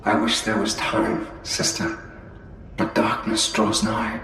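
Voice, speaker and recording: An actor playing a grave, protective alien seer in a game cinematic, solemn and formal. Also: male voice, fairly low pitch, fairly even intonation, takes it slowly. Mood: sorrowful. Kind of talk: dramatic game cinematic acting. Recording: very echoey, faint noise.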